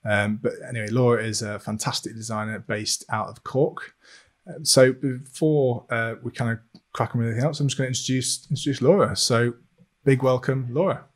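A man's voice: mid-range voice, steady tone, brisk. A man hosting an interview podcast in an informal, chatty, conversational way.

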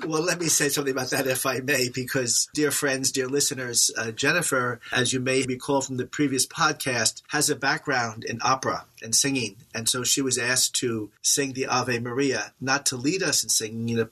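Priest guy on a podcast, husky but bright voice, calm pastoral chat. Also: mid-range voice, normal speed, few pauses, low-quality recording. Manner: warm, reflective, pastoral. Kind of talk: casual conversation.

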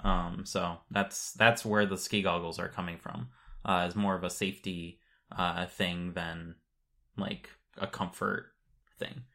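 A man's voice: deep voice, flat delivery, measured pace, pushed and bright. A man co-hosting a podcast, explaining things in an informal, matter-of-fact way in casual conversation. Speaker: podcast co-host.

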